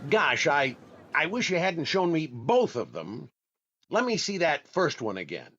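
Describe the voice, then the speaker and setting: Male actor as hesitant, fussy comedy shopper, old-time radio style, animated delivery, lo-fi sound. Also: on the high side, a little slow, husky, exaggerated voice. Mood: dithering. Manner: hesitant, dithering. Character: fussy, indecisive, penny-careful male shopper.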